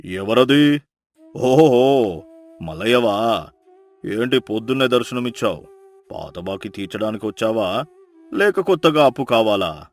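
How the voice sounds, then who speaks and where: A man's voice: on the low side, lively intonation, a little slow, long pauses. A man playing a miserly village moneylender in a folk tale, taunting and stern. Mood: mocking, stern.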